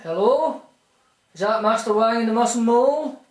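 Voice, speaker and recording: A man with a Scottish accent, calling in and posing as a famous fighter, mock-serious and deadpan in a comic phone-in bit. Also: very high voice, normal speed, echoey room. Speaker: caller.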